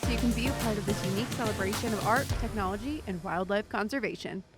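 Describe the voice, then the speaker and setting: A woman TV presenter reads scripted promotional narration at a medium pitch. Prominent music plays right behind her voice throughout. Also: fast.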